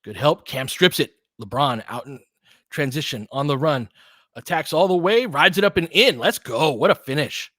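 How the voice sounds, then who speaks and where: A man's voice: on the high side, animated, moderate pace, a bit bright, no room sound, studio quality. A man doing live basketball play-by-play as a partisan fan, in short clipped phrases with casual, slangy exclamations and bits of analysis. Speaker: fan play-by-play commentator and host.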